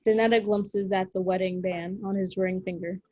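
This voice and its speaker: A woman's voice: medium pitch, fairly even intonation, moderate pace, muffled recording. A woman reading stage directions and scripted narration for a table read held on a video call. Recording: noisy online call audio.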